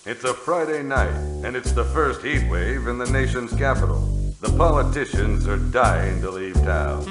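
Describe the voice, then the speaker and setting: An actor playing a moody, world-weary storyteller delivering a sardonic noir-style monologue in an audio play. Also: male voice, mid-range voice, lively intonation, normal speed, natural voice. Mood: sardonic brooding. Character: moody male storyteller of a hot city night. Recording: echoey, loud music underneath.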